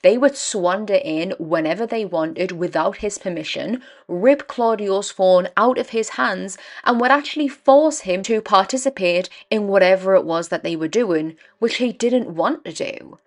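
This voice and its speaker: A young woman hosting a true crime podcast, her voice croaky and dry from a cold, telling the case in an earnest, measured way. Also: mid-range voice. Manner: earnest, measured storytelling with emphatic stresses. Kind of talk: scripted true crime narration.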